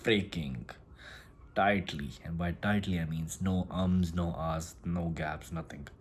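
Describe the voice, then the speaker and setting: A man's voice: deep voice, steady tone, takes it slowly, a little hiss or hum. A man who speaks English as a second language, talking through a casual motivational monologue and often repeating and restarting his phrases. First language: not English. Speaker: self-improvement vlogger.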